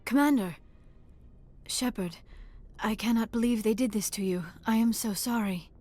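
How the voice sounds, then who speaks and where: A woman playing an earnest, devoted ally in a science fiction video game, sincere and gentle. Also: on the high side, normal speed, soft background music, close to an everyday voice. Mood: sympathetic. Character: earnest, devoted young female ally of the commander. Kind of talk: cinematic game voice acting.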